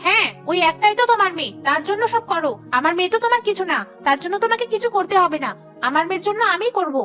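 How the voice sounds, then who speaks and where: A quick, high-pitched, sharp-tongued stepmother, played by a woman, sarcastic and scolding. The delivery is cartoon-dub fast, with music behind. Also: animated, full character voice. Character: harsh scolding stepmother, middle-aged woman. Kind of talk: cartoon dubbing.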